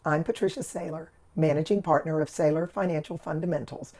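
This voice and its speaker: A hoarse woman with a rough, scratchy voice, recovering from laryngitis, methodically walking through an investing trade as the channel's host. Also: low-pitched, fairly quick. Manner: methodical, steady explaining of trade steps and figures. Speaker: financial educator and channel host. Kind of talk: screen-recorded investing walkthrough.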